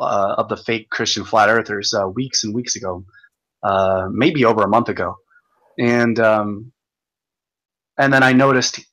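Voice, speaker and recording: A man hosting a livestream, ranting in a profane, stumbling, repetitive way while reading comments, his voice going hoarse. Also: mid-range voice, a bit bright, rough recording. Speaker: livestream host. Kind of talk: rambling livestream rant.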